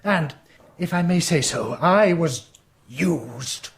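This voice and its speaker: An actor in a radio drama playing a nervous, deferential court historian, hesitant and diplomatic. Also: male voice, a little high, lively intonation, takes it slowly, vocal fry, heavily acted. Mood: nervous, apologetic.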